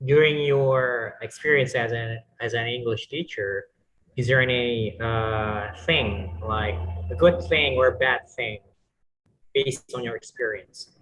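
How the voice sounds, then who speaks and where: A man interviewing a teacher, asking polite questions slowly with hesitations. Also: mid-range voice, a bit bright, rough recording. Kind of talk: speaking test interview.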